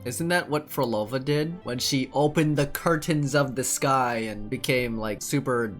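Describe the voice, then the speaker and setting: A man's voice: mid-range voice, measured pace. Casual young guy streaming a game. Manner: slangy, rambling, thinking aloud. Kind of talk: casual live playthrough commentary. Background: game music.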